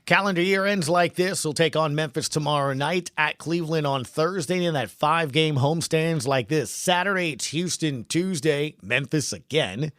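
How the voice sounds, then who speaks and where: A man's voice: a little high, normal speed, few pauses, a bit bright, dry recording, studio quality. A man hosting a sports podcast in casual talk, speaking emphatically in short punchy phrases and often repeating himself for stress.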